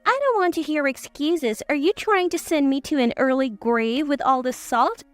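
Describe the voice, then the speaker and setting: A woman's voice: high-pitched, normal speed, no room sound, soft background music, natural voice. A woman voicing a scornful older mother-in-law who nags and accuses, in a story drama. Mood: scolding. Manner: sharp, accusing complaints.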